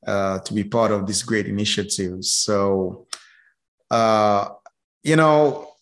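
A middle-aged man from Haiti, a guest speaking casually in an interview, halting and repeating words as he goes. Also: fairly low pitch. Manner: slow, halting, many repeated words and fillers. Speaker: guest, business professor.

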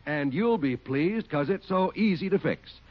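A man's voice: on the high side, animated, measured pace. A man with an American accent reading as a 1940s radio announcer, in an enthusiastic, polished selling style. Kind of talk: 1940s radio advertising read. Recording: muffled 1940s radio recording.

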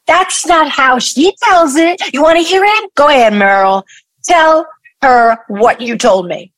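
A woman's voice: high voice, animated, unhurried, a bit bright, no echo, exaggerated voice, rough recording. A woman in an audio play acting a hostile, bluntly sarcastic elder daughter. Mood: mocking.

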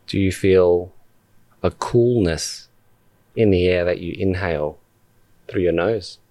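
Slow, low-voiced man guiding meditation. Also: no echo. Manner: calm, deliberate, with long pauses between short phrases. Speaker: meditation guide and podcast host. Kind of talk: guided meditation.

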